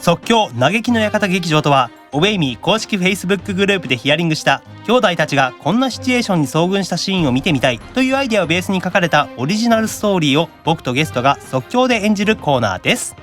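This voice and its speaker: Guy podcast host, high voice, talks fast, teasing banter, bit of music under. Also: sing-song, fairly bright tone. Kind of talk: lively, bantering podcast chat.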